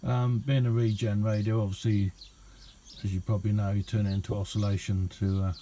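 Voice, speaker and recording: Middle-aged man, soft dark tone, casual halting hobbyist demo, faint background noise. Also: lowish voice, little pitch movement. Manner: unhurried, halting, colloquial. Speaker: radio hobbyist showing a homemade receiver. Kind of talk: casual hands-on demonstration vlog. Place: indoor hobby radio room.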